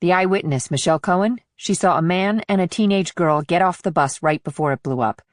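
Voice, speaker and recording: A woman's voice: on the low side, brisk, no room sound, studio quality, natural voice. A woman voicing an insistent, businesslike female investigator in audiobook dialogue, firm and explanatory.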